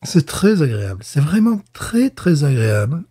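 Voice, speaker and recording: A man's voice: on the high side, very animated, normal speed, warm tone, breathy edge. A man co-hosting a podcast, tasting a beer in casual chat, hesitant with many fillers and restarts. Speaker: podcast co-host.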